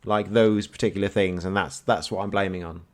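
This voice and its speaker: A man's voice: low voice, fairly even intonation, brisk. A young man co-hosting a podcast, with dry, teasing humour. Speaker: podcast co-host. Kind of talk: casual chat.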